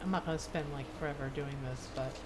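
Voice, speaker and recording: A woman's voice: a bass voice, fast, very noisy. A woman doing casual live gameplay commentary, musing half to herself. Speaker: game streamer.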